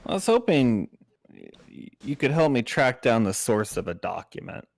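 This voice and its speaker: A man playing a tabletop game, chatting casually in character in a measured, agreeable and polite way. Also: medium pitch, very animated, creaky, no room sound, clean studio sound. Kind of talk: casual in-game roleplay chat.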